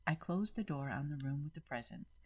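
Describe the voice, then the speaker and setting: A woman narrates a dramatic first-person story. Her voice is very low and distinctly husky. The recording is slightly dull and lo-fi. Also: lively intonation, fairly quick.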